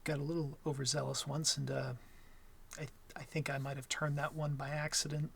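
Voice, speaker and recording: A shy young male vampire, acted by a man, speaks in a soft, breathy voice pitched a little high. He is hesitant and apologetic, stammering through his words in an intimate ASMR roleplay style. He comes across as gentle, awkward and smitten. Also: little pitch movement, brisk, heavily acted. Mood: hesitant, reassuring.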